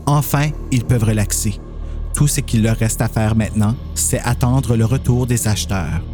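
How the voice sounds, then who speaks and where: A man's voice: lowish voice, moderate pace, music in the background. A man narrating a scripted horror story as a podcast host.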